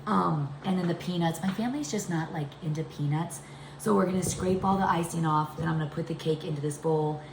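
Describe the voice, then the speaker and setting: A middle-aged woman talks casually through a home recipe. Her voice is deep and congested from a chest cold, with a gravelly creak. The kitchen around her is echoey. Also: a little fast. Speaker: home cooking video host. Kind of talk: casual cooking demonstration talk. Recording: echoey room with background noise.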